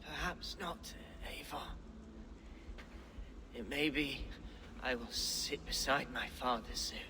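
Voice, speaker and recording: An actor playing a dying male warrior who speaks weakly in a fading, halting voice. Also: medium pitch, background noise. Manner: slow, faint, halting. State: dying, weak.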